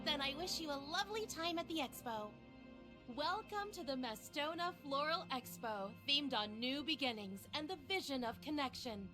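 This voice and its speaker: An actress playing a polished, polite female expo attendant in a video game, formal and welcoming. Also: sits high.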